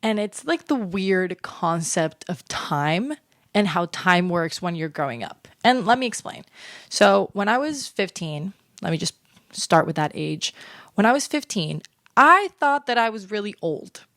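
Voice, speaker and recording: Young woman in her twenties, chatty sit-down vlogger, dry close sound, mid pitch. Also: unhurried, no room sound. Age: 20s. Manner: chatty, animated, filler-heavy with lots of "like". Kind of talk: casual sit-down chat to camera.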